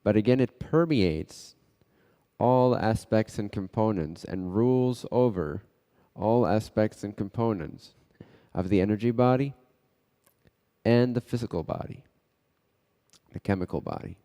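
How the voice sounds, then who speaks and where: Slow, low-voiced man guiding meditation, heavy reverb. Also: expressive, dark tone. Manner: measured, halting delivery in short phrases with pauses. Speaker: meditation guide. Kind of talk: guided meditation. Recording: long hall-like reverb.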